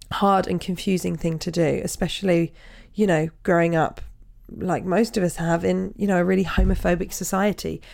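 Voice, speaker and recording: A woman hosts a casual podcast chat, full of warm-hearted praise and easy enthusiasm. Her voice sits on the lower side for a woman, and she talks over a video call. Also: creaky. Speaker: podcast host.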